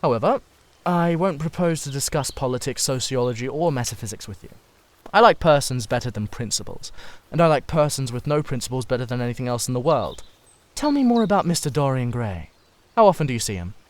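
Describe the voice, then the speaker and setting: Man acting a cynical gentleman, languid, pompous, teasing, literary storybook dialogue, mid-pitched. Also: very animated, a little fast, natural voice. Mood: curious, teasing. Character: witty, languid aristocrat, cynical and provocative man. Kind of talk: dramatised literary audiobook reading.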